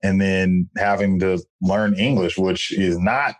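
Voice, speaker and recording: Upbeat low-voiced male podcast host. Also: steady tone, slow pace, no echo. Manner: upbeat and friendly, loose slangy talk. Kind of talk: casual interview chat.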